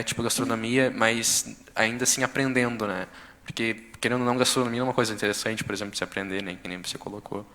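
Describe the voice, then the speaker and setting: A man's voice: lowish voice, a bit bright. A young man with a Brazilian Portuguese accent gives an informal account in a conversational, somewhat hesitant way. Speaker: exchange student giving a testimony. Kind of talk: informal spoken account at a public session. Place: council chamber. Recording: echoey hall with long reverb.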